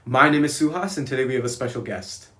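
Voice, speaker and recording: A man's voice: medium pitch, quick pace, sharp tone. A young man hosting a student podcast, asking questions in a hesitant way with lots of "kind of" and "like". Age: young adult. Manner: hesitant, many "kind of" and "like" fillers. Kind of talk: casual interview hosting. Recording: echoey room.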